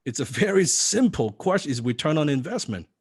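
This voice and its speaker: A man's voice: fairly high pitch, sing-song, fairly quick, darkish tone, husky, no echo, studio quality. A man teaching copywriting in a live seminar, non-native in English, firing rhetorical questions at the audience. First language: not English.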